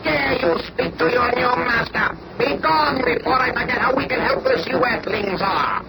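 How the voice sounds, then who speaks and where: Shrill, grandiose alien conqueror. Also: male voice, expressive, moderate pace. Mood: imperious. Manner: grandiose, declamatory. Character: boastful, menacing male alien conqueror, loyal to his emperor. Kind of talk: 1970s superhero radio drama acting. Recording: very noisy old 1970s radio recording.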